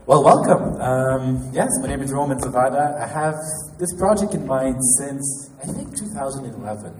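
A pianist and composer from Quebec with a Québécois French accent, introducing his show in a halting, casual way with restarts. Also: mid-range voice, animated. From Quebec, Canada. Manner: halting, with restarts and light laughs. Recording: echoey hall from far off, noisy.

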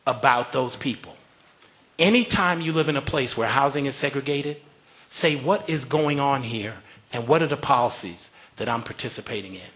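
Guy on a panel, earnest, pushing people to act, normal pitch. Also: fairly bright tone. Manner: earnest, urging listeners. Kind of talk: panel discussion.

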